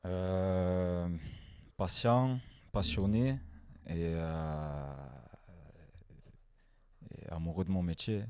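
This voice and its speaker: Young guy in his twenties, very low mellow voice, easygoing and plain-spoken. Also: drawn-out words, pauses often, smooth, no room sound, clean studio sound. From France. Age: 20s. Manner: relaxed, simple, with fillers. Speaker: guest, hairdresser. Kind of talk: casual interview answers.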